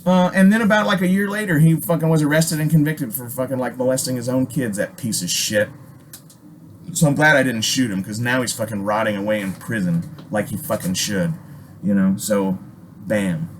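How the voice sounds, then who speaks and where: A man's voice: mid-range voice, moderate pace, a little hiss or hum. A middle-aged man telling a personal story in a casual, rambling way, swearing often and hammering his points home with emphasis. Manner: profane, emphatic, digressive. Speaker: storyteller and video host.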